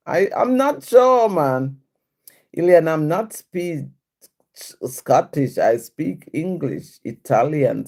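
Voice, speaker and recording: Slow, lively woman live-streamer. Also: on the low side. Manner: chatty, answers comments aloud, laughs often. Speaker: livestream host reading viewer comments. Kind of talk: casual live-stream chat.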